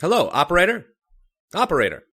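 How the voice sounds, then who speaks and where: Guy playing a calm doctor in an old radio horror play, reassuring, practical, talks things through. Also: high voice, fast talker, slightly rough, heavily acted. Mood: urgent. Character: steady, reassuring male family doctor. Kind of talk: old-time radio horror drama acting.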